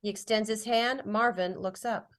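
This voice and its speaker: A woman's voice: medium pitch, fairly even intonation, moderate pace. A woman reading stage directions as scripted narration at a drama table read.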